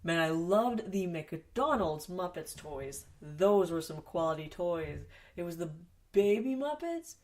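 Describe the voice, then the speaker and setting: A woman with a nasal, stuffed-up voice, sniffly as she comes down with a cold. She rambles through her solo podcast in a chatty, self-mocking way, swears now and then, and breaks into loud exasperated bursts. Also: lowish voice, expressive, takes it slowly. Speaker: podcast host. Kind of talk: solo casual podcast chat. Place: home basement studio.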